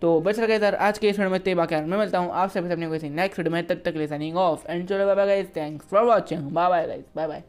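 A man's voice: sits high, steady tone, brisk, hardly any pauses, a little mellow, smooth. A young man hosting a gaming video, explaining things casually and directly to viewers with repeated verbal tags. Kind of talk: casual explainer narration for a mobile game. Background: background music.

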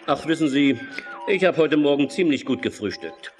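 An actor playing a cheeky, gruff male ship's radio operator, brash and slangy, in an audio drama. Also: fairly high pitch, animated, normal speed, faint music behind, close to an everyday voice. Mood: brash, mocking. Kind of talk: German audio drama acting.

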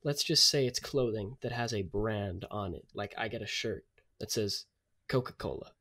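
A man with a slightly low voice chats as a podcast co-host. His delivery is informal and comic, and he leans on words for emphasis. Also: moderate pace, bright, forceful tone. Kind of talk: casual comic chat.

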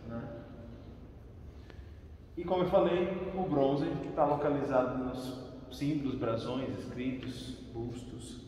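A man's voice: on the high side, a little mellow. Brazilian guy giving a tour, explaining stuff, far from the mic in a big reverby stone space. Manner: explanatory, often checks with "né". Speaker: tour guide. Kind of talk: informal guided tour explanation. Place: large reverberant crypt indoors. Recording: very echoey stone crypt, distant, faint noise.

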